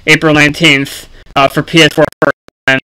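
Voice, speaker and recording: Man, bright forceful voice, slightly high, clear and smooth, casual video game commentator, halting delivery full of ums. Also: flat delivery, a little hiss or hum, low-quality recording. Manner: halting, frequent ums and mid-sentence pauses. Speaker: YouTube gaming commentator.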